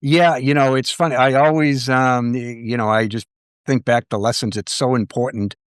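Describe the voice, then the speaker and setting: A man's voice: mid-range voice, steady tone, normal speed, clear, resonant tone, dry recording, clean studio sound. A middle-aged man, interviewing in a casual, informal way as a podcast host.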